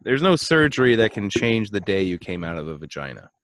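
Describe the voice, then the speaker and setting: A man's voice: on the low side, big pitch swings, brisk, a bit bright, a little hiss or hum. A man co-hosting a beer podcast, chatting casually and joking with lots of fillers. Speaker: podcast co-host. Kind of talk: casual chat.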